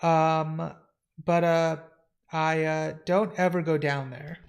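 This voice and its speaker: A comic reader voicing a timid, fretful keeper of a haunted house, with a halting, nervous stammer. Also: medium pitch, steady tone. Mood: nervous, flustered.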